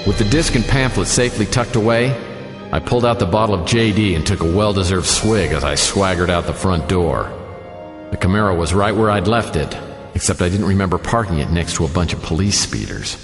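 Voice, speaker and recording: A sardonic, hard-boiled private eye narrates in a very low voice with a lively, swinging delivery. The sound is roomy with a long reverb tail. This is a noir radio drama. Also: male voice, a little fast, over music. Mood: wry. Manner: dry, sardonic. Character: wisecracking hard-boiled male private detective.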